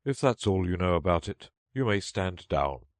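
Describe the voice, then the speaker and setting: An irritable, bossy storybook king as a man plays him, giving orders in a sharp way. His intonation is very animated and the sound is dry and close. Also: deep voice, moderate pace, no echo. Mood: commanding. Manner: sharp, commanding. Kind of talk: storybook character voice.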